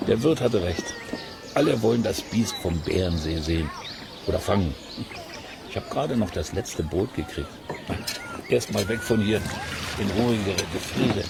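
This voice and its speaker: A man's voice: on the low side, lively intonation, normal speed, creaky, pauses often. A man in a children's radio play playing a curious, chatty amateur monster hunter who muses aloud in hesitant asides. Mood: confiding, pleased. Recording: noisy cassette transfer.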